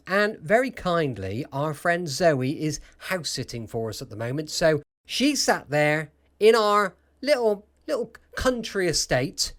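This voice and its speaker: A British man hosting a nighttime old-time radio podcast with chatty, rambling informal asides. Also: on the high side. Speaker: podcast host.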